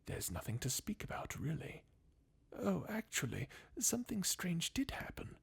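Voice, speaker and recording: A performer voicing a jaded, sardonic undead warrior from a fantasy video game, in a breathy, husky half-whisper with a weary, mocking manner. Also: mid-range voice, huge pitch swings, moderate pace, heavily acted. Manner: sardonic, weary, chuckling bleakly. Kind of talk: fan redub of video game dialogue, ASMR-like.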